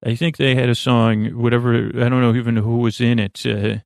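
A man hosting a bedtime podcast in a creaky voice, delivering a halting, meandering monologue meant to lull listeners to sleep. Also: medium pitch, little pitch movement, no room sound, studio quality. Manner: meandering, halting, drifts off topic. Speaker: podcast host.

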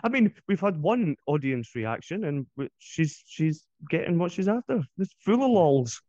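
A man's voice: on the high side, sing-song, moderate pace, a little mellow, no room sound, muffled recording. A Scottish man co-hosting a podcast from his home office, chatting in a hesitant, self-conscious way. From Scotland. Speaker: podcast co-host. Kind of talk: casual chat.

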